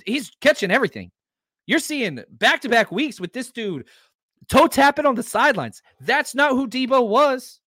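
A man's voice: extremely high pitch, very animated, moderate pace, bright, forceful tone, no echo. A man in his forties hosting a sports podcast, talking emphatically and repeating phrases for stress. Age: 40s. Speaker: podcast host.

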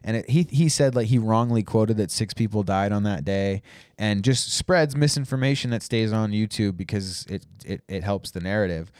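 A man's voice: on the low side, moderate pace, darkish tone, smooth, dry recording, clean studio sound. Rambling, profane man on a podcast. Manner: rambling, profane, frequent restarts. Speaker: podcast co-host. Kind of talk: casual podcast chat.

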